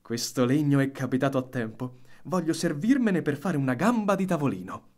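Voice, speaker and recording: A man's voice: on the high side, expressive, fairly quick, darkish tone, natural voice. A man voicing a startled, blustering old carpenter who mutters and exclaims to himself in a storybook reading. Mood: pleased muttering. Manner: stammering, exclaiming.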